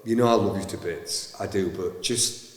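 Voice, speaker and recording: A man telling a lively backstage story and acting out the voices. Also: low-pitched, moderate pace. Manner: animated, acts out voices in his stories. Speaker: panto actor. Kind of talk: anecdotal storytelling. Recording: roomy, echoey with long reverb.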